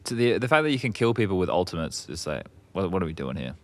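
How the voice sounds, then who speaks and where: A man with a lower-pitched voice hosts a relaxed esports roundtable. He talks quickly, nudging players with playful questions. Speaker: host. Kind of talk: casual esports roundtable chat.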